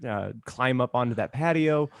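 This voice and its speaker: A man's voice: medium pitch, expressive, moderate pace, creaky, dry recording. Male podcast co-host in a dry recording. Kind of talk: casual comedic banter.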